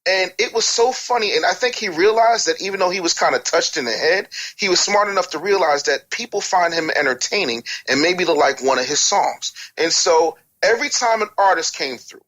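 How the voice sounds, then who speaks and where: A man's voice: high voice, fairly bright tone, low-quality recording. A man giving blunt, emphatic advice and anecdotes as an interview guest, swearing freely. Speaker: interview guest, music business mentor.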